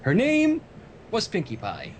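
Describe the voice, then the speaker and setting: A man's voice: wildly swooping pitch, measured pace. A man hosting a livestream, reading a story aloud and breaking off for joking asides. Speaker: livestream host reading fan fiction aloud. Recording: noisy livestream audio with low rumble.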